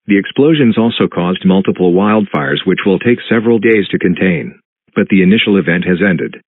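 A low, husky synthetic man's voice delivers a formal emergency broadcast announcement. The delivery is flat and mechanical, with a robotic quality. It sounds dull and lo-fi, like a muffled TV alert. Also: no room sound.

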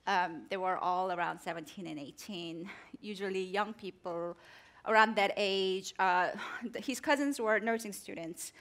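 A woman's voice: mid-range voice, unhurried, fairly bright tone. Korean-accented woman, earnest and reflective. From South Korea. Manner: earnest, reflective, gesturing with her hands. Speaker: journalist guest. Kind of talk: onstage interview. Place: theater stage before a live audience. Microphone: headset mic.

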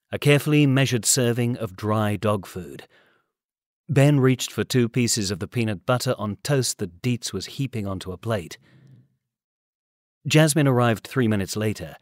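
A man's voice: fairly low pitch, no room sound, studio quality. A man reading an audiobook, giving scripted story narration. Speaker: audiobook reader.

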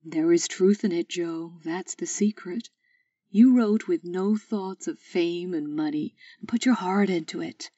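An actress playing a gentle, wise, middle-aged mother in an audio drama, speaking in a soothing, measured way. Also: fairly low pitch, no echo, far from the mic, highs cut off. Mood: warm, reassuring. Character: gentle, wise, loving mother of grown daughters.

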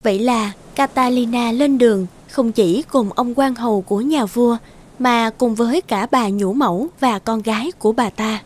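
A woman's voice: a little high, normal speed, slight background noise. A woman giving scripted fairy-tale narration as the storyteller.

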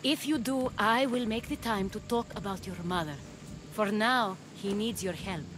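A woman's voice: medium pitch, moderate pace, background noise, close to an everyday voice. An actress playing an anxious, elegant woman worried about her dying companion. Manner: worried, sighing. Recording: game score playing behind.

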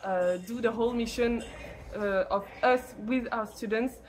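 A young French woman, a native French speaker, giving a casual testimonial to camera in English, halting and searching for words. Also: on the high side, steady tone, a little hiss or hum. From France. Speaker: lay missionary teacher.

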